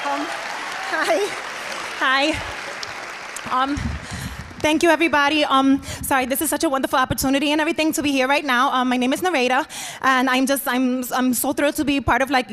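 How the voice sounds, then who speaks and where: Woman doing a fast-talking nervous young New Yorker. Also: high voice, a bit bright. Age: young adult. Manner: nervous, rushed, peppered with "like" and "um". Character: nervous young Dominican American college student and mother. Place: large auditorium stage.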